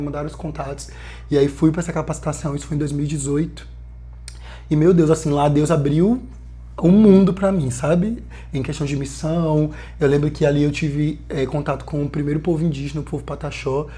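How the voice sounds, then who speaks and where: Casting young man, twenties, from Bahia, earnest guest storyteller, slightly high, warm mellow tone. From Bahia, Brazil. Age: 20s. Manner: earnest, lively storytelling with frequent fillers. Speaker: missionary guest. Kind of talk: casual podcast conversation.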